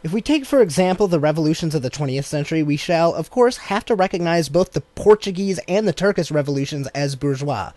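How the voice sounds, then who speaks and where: A man reading a political text aloud as an audiobook, in a measured, deliberate cadence. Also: on the high side, expressive, mellow, dry recording. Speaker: audiobook reader.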